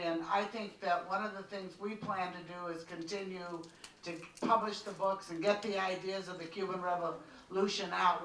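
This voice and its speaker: A man in the audience of a panel, making an earnest, halting public comment full of restarts. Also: high voice, sharp tone. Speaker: audience member. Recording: roomy hall, mic held at a distance.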